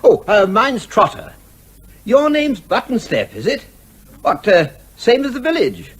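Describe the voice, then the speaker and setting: High, lilting, slippery English charmer. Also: male voice, big pitch swings, measured pace, a bit bright, breathy edge, full character voice. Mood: ingratiating. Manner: effusively polite, hesitant, wheedling. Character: ingratiating, cheeky English newcomer, slippery shameless borrower. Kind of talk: radio comedy drama acting.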